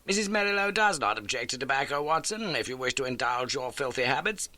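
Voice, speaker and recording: Man acting gentleman detective, audiobook, high bright voice, brisk, precise, courteous, commanding. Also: expressive, no room sound. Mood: brisk, inquisitive. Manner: precise, formal questioning.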